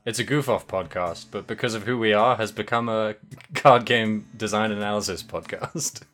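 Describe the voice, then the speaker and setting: A man's voice: on the low side, fairly even intonation, moderate pace, a bit bright, soft background music. A man co-hosting a casual podcast, rambling loosely, laughing often and trailing off mid-sentence. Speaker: podcast co-host.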